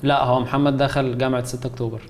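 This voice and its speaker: A man's voice: mid-range voice, little pitch movement, racing, a little hiss or hum. A man in his twenties with an Egyptian accent, a guest chatting casually and hesitantly, with many fillers and restarts. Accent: Egyptian Arabic. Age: 20s. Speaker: guest, concept artist. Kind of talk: casual chat.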